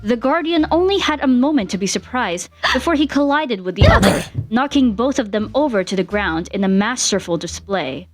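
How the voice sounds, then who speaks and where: A woman's voice: fairly high pitch, lively intonation, normal speed, hardly any pauses, soft background music. A woman working as narrator, reading a fan fiction story aloud in a dramatic storytelling style.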